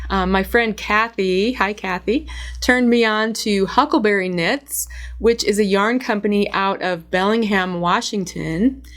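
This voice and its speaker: A woman with a cold, her voice hoarse, chatting casually to camera about knitting and yarn, with frequent ums. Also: medium pitch. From United States. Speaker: knitting vlogger.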